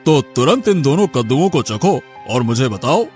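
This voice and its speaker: A man's voice: medium pitch, very animated, normal speed, a little mellow, faint music behind, close to an everyday voice. A man playing an authoritative village headman judging a dispute in an audio moral story. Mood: measured, questioning.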